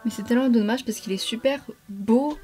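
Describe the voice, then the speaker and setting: A woman's voice: fairly high pitch, a little fast, dark tone, soft background music. A young woman chatting casually to followers like a vlogger, in an informal, slangy, rambling way with self-corrections. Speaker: beauty and lifestyle vlogger. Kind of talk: casual chat to followers while doing makeup.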